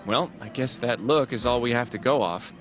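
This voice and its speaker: An earnest young man voiced by an actor talks mildly and politely in a game scene, a bit hesitant and gently teasing. His pitch is average, with loud music behind. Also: normal speed, close to an everyday voice. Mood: curious, helpful. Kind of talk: video game voice acting, conversational.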